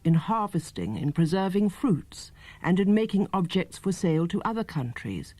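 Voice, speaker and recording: Mellow man reading a storybook, very dry sound. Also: sits high, no echo. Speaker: audiobook reader. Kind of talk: scripted storybook narration.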